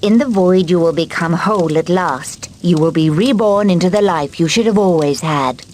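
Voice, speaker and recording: A woman plays a fantasy game's prophetess in a lowish register. She declaims with solemn, portentous weight, as a guide to chosen heroes. Light ambience runs underneath. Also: normal speed, a little mellow, slight background noise, natural voice. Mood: solemn, prophetic. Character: solemn female mystic guide foretelling prophecy. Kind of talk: video game cutscene acting.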